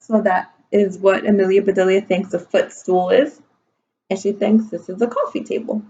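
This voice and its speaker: A woman reads a children's picture book aloud like a teacher, pausing to explain things simply. Her mid-pitched voice is slightly husky, and the recording sounds a bit muffled. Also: steady tone, dark tone. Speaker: kindergarten and first grade teacher.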